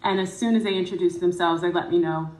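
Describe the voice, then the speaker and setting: A woman's voice: lowish voice, steady tone, quick pace, darkish tone, roomy sound, poor audio. A woman whose first language is French, telling a personal story hesitantly, with many fillers and restarts. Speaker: guest in a played clip. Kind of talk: casual storytelling.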